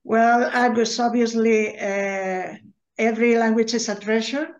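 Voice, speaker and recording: A woman's voice: fairly high pitch, steady tone, unhurried, darkish tone. Hesitant woman, muffled call audio. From Galicia, Spain. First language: Galician. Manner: hesitant, many fillers. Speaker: interviewer, host. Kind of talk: interview questions.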